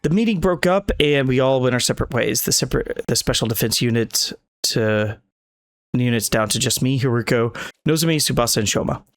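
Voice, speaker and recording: A man's voice: mid-range voice, fairly quick, soft background music. A man reading a visual novel's dialogue and narration aloud for a let's play video, sometimes tripping over lines and correcting himself.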